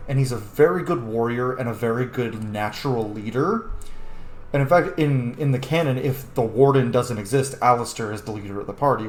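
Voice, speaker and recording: Male gaming host, chatty and informal, very lively intonation, roomy sound, mixing commentary with roleplay. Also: mid-range voice. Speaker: gamer, roleplay series host. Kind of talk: casual game commentary with in-character dialogue read aloud.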